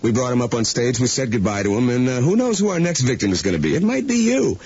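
A man's voice: medium pitch, sing-song, fast talker, a little mellow, husky. An American man hosting a morning radio show with top-40 DJ patter and banter. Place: radio studio. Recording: dull aircheck tape with music behind.

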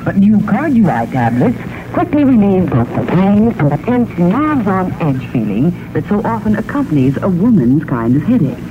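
A man's voice: high-pitched, big pitch swings, moderate pace, few pauses, background noise. A man reading a scripted 1960 radio commercial as an announcer, heard on a muffled old AM recording.

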